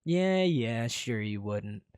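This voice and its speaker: A man's voice: mid-range voice, animated, takes it slowly, darkish tone, clear, resonant tone, no room sound, clean studio sound, voice pushed down. A storyteller voicing a cheeky, boastful young male commoner hero who teases his rival. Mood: sarcastic.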